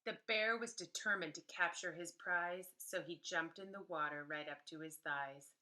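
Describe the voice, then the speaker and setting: Female preschool teacher storyteller: slightly low, bright voice, unhurried and emphatic read-aloud for small children. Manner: animated, emphatic, pausing to explain to children.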